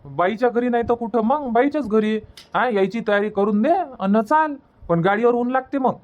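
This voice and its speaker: A man's voice: extremely high pitch, normal speed, muffled recording. A man playing a placating, argumentative village husband in a cartoon comedy, in colloquial Varhadi Marathi, repeating himself to make his point. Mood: impatient. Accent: Varhadi Marathi from Vidarbha.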